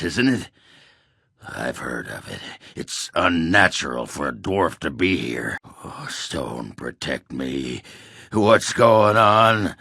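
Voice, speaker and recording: A male actor playing a gruff, crude, hard-drinking dwarf warrior in a video game, cranky and boastful, with a growling, sardonic, blustering delivery. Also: low voice, sharp tone, dry recording, studio quality, heavily acted. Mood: uneasy, grumbling. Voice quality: gravelly, growling rasp. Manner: blustering, earthy swearing, sardonic. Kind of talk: video game character acting.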